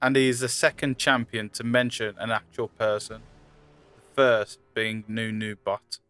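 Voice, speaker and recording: A man's voice: on the low side, flat delivery, unhurried, a bit bright. A man reading scripted trivia narration as the narrator of a video. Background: music.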